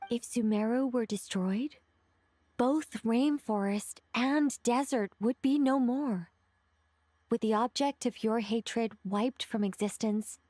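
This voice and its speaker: Measured, eloquent ancient angel, dry recording. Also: female voice, medium pitch, mellow, no room sound. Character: ingenious, wistful ancient female angel, wry and eloquent. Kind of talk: video game voice acting.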